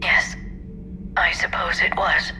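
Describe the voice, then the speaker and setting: A woman playing a gentle, apologetic alien scholar in a video game, carefully explaining through a radio-like filter. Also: slow, over music. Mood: regretful. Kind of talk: video game character dialogue.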